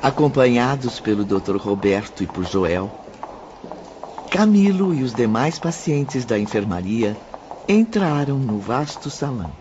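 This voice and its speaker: A man's voice: medium pitch, sing-song, a little slow. A man with a Brazilian accent reading scripted third-person narration for a radio drama. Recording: lo-fi radio recording, clear background noise.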